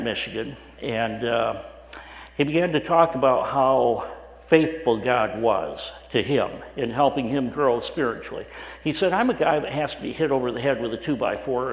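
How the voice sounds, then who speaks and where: Male pastor, middle-aged, raspy and hoarse, chatty halting sermon delivery, roomy echo. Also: mid-range voice. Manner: conversational with frequent "uh" restarts. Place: church sanctuary. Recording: echoey hall with long reverb.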